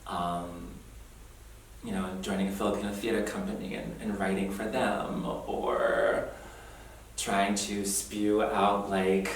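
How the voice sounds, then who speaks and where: Young man, distant and echoey. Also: low voice. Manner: earnest, thoughtful, laughs easily. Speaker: oral history interviewee. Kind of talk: reflective personal oral history. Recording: roomy echo, distant mic, faint noise.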